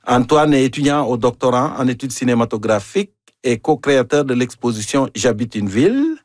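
Radio host with a Quebec accent. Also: male voice, mid-range voice, expressive, fairly quick, a little mellow, dry recording, studio quality. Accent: Quebec French. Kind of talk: radio interview.

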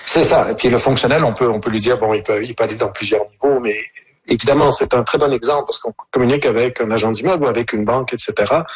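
A man speaks as a guest in a radio interview, his voice slightly husky and his pitch sitting at a middling level. He weighs his words, pausing and restarting sentences as he thinks aloud. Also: poor audio. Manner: thoughtful, many hesitations and restarts.

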